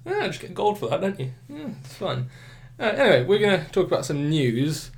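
A young man with a British accent hosts a casual gaming podcast, his voice a touch nasal and blocked up from a head cold. He rambles loosely, restarting sentences and acting out little quotes. His pitch sits a bit on the higher side for a man. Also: sing-song, brisk, vocal fry. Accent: British English. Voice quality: slightly nasal. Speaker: podcast host.

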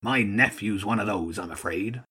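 Storybook old seagull archduke, played by a male reader: husky, gruff, commanding, blustering yet canny. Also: lowish voice, moderate pace, bright, forceful tone, heavily acted. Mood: wry, relaxed. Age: older. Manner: blustering, barking orders.

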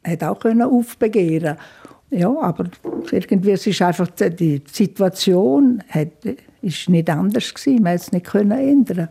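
An older woman with a Swiss German accent, recalling her childhood in a thoughtful interview, with frequent fillers and soft laughs. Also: medium pitch, lively intonation, moderate pace, vocal fry, breathy edge. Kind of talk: reflective life-story interview.

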